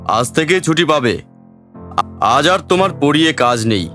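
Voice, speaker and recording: Actor as stern older male headmaster, audio drama; commanding, a touch high-pitched, faint music. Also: expressive, measured pace, bright, forceful tone. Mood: firm. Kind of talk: Bengali audio drama acting.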